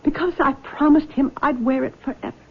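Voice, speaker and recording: A woman's voice: high voice, fairly even intonation, brisk. An actress playing a distraught, guilt-ridden young woman in a radio drama, plaintive, pleading and tremulous. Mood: haunted, pleading. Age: young adult. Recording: muffled old radio broadcast.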